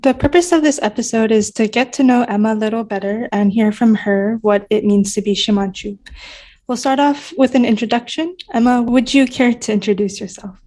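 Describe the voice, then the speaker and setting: A woman's voice: fairly high pitch, fairly quick, mellow. A young woman co-hosting a podcast, friendly as she opens a segment and introduces a guest. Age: young adult. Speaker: podcast co-host. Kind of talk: casual podcast chat.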